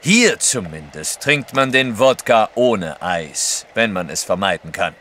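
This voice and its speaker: An actor playing a blunt, proud Russian merchant in an audio drama. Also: male voice, lowish voice, big pitch swings, measured pace, bright, forceful tone, full character voice. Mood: terse, proud.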